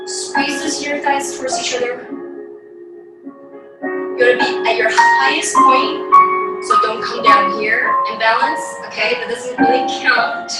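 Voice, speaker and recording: A woman leads a dance class in short, encouraging instructions. Her delivery is slow and measured. She is heard from a distance in a very echoey room, with loud music playing along. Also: fairly high pitch, steady tone. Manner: encouraging, counting out moves in short cues. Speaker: ballet teacher. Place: bedroom, indoors. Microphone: camera mic across the room.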